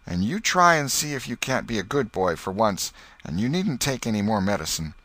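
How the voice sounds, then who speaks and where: A man voicing a scolding but soft-hearted old aunt in a storybook, folksy and exasperated, with a rustic American accent. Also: lowish voice, sing-song, normal speed, no room sound.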